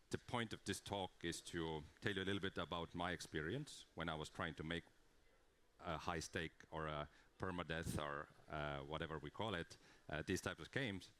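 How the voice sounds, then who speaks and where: Brief: man, conference speaker, very low voice, earnest and explanatory. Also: normal speed, a bit bright. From Estonia. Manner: earnest, explanatory, with frequent fillers and restarts. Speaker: conference speaker, game creative director. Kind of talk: conference talk.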